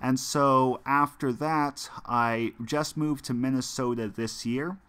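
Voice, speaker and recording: Young us guy streaming a game, bright clear voice, rambly and full of ums. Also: medium pitch, fairly even intonation, slow. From United States. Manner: rambling, many fillers and restarts; chatty, talks to viewers while playing. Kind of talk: casual gameplay stream chat.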